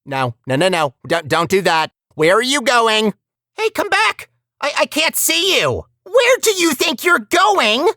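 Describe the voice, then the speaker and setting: A woman's voice: fairly high pitch, very animated, measured pace, pushed and bright, dry recording, studio quality, exaggerated voice. A woman voicing a scheming, sarcastic AI villain in a video game, chatty and mock-polite in a theatrical way. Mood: exasperated. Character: female scheming AI villain, breezily cheerful, sarcastic and murderous. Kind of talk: video game character dialogue.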